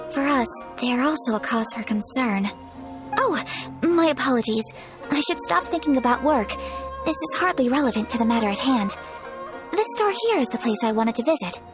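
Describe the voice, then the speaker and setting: A woman voicing a refined, polite young noblewoman in a video game, speaking in formal, measured phrases with earnest reserve. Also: high-pitched. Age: young adult. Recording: background music.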